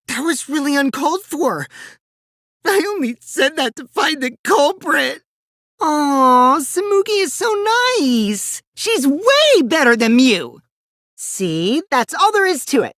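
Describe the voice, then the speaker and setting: A childish teenage schemer from a video game, a self-styled supreme leader of evil. The voice swoops dramatically between bratty teasing and sinister taunts, unhurried and recorded close and dry. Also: male voice, very high voice, sing-song, fairly bright tone, dry recording, full character voice. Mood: bratty, whiny. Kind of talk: English video game voice acting.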